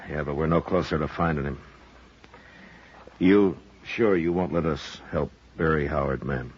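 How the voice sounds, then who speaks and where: A commanding frontier marshal in a radio western, with an extremely deep voice. He is terse, dry and steady, captured on a muffled old recording. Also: unhurried, husky, full character voice. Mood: terse, grim. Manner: terse, authoritative. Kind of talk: 1950s radio western acting and narration.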